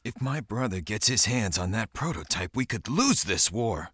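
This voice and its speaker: An actor playing a brooding, defiant young war pilot in a video game, terse and hard-boiled. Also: male voice, fairly low pitch, very animated, normal speed, fairly bright tone, husky, dry recording, studio quality, full character voice. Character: brooding, defiant young man, war pilot seeking revenge on his brother. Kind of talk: video game voice acting, narration and dialogue.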